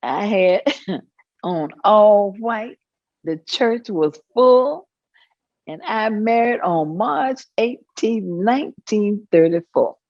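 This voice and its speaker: A woman playing an elderly grandmother in a staged reading, plainspoken and matter-of-fact, pausing as she reminisces. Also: medium pitch, animated, a little mellow, no room sound, lo-fi sound. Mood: fond, amused. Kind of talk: dramatic staged reading of oral history.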